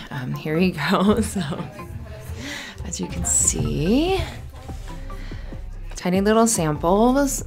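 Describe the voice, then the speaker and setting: A woman playing a flustered, friendly young café barista in an ASMR roleplay, chatty and halting in a natural conversational way. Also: fairly low pitch, creaky, exaggerated voice. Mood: friendly, scatterbrained. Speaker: voice actor in audio roleplay.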